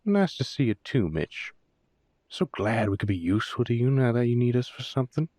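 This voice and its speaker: A man's voice: mid-range voice, very animated, a little fast, a little mellow, no echo, studio quality. A man playing a sullen, sarcastic younger werewolf brother in an audio roleplay, snarky and grudging. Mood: bitter, sarcastic. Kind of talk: roleplay audio drama acting.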